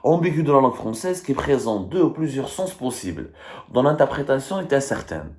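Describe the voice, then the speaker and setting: A man's voice: mid-range voice, sing-song, brisk. A man with an Algerian accent giving live political commentary in an emphatic, argumentative and repetitive way. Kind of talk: live political commentary, reading and dissecting statements.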